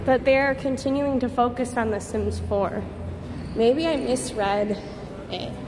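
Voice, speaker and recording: High-voiced woman streaming on a city street. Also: a little slow. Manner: chatty, reads and answers viewer comments aloud. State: slightly strained voice. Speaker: livestream host. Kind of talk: casual live stream chat while walking. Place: outdoors on a busy city street. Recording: loud outdoor street noise. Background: street traffic.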